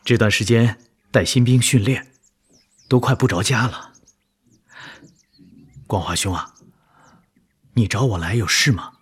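Husky, emotive loyal soldier. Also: male voice, fairly low pitch, measured pace, long pauses. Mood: concerned. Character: loyal army officer, anxious, warm younger brother-in-arms. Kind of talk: broadcast radio drama acting.

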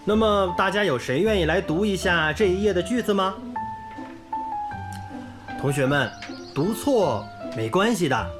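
A man's voice: sits high, expressive, unhurried, pauses often. An actor playing a kindly, earnest young male English teacher in a radio drama, with an encouraging manner. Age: young adult. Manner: clear, encouraging classroom delivery. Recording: music under the dialogue.

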